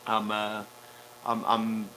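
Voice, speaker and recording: A man co-hosting a casual livestream chat outdoors, blunt and quick to swear. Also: on the low side, completely flat delivery, bright, forceful tone, a little hiss or hum, low-quality recording. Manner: blunt, swearing, laughing asides. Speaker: co-host, island owner. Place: outdoors on an island, sitting on cardboard.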